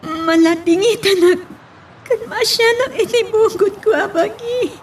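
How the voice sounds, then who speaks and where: A woman's voice: very high-pitched, a little slow, recorded from a distance, slight background noise. A woman playing a grieving, pleading woman in love in a melodramatic, tearful Ilocano radio drama style. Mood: hurt.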